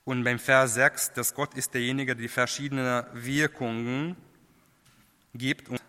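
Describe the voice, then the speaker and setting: A man preaching a sermon in a language that is not his first, halting, with many fillers and restarts. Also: medium pitch, level tone, bright, forceful tone, clear, resonant tone. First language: not German. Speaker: lay preacher. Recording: long hall reverb.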